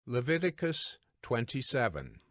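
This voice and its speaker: A man narrates scripture in a formal, deliberate style. His voice sits at a middle pitch and moves slowly, recorded dry with little room sound. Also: wildly swooping pitch, a bit bright, no room sound.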